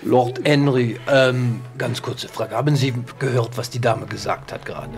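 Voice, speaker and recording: A man voicing a polite, inquisitive and insistent doctor, formal and halting, in a tabletop audio drama. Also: medium pitch, creaky, soft background music, natural voice. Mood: polite, probing.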